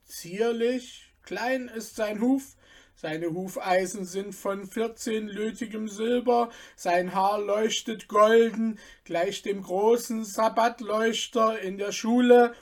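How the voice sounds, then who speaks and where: A reader voicing a sly middle-aged merchant who talks calmly and in fine detail, with a mildly mocking manner, in a storybook reading. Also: mid-range voice, takes it slowly, pitched above the performer's own voice, natural voice. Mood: boastful.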